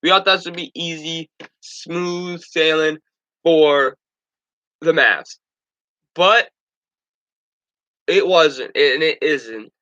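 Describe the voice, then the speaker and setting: A man's voice: on the high side, slow, pauses often, bright, forceful tone, muffled recording. A young man hosting a casual sports talk stream, stuffed up from allergies, rambling with stumbles and restarts.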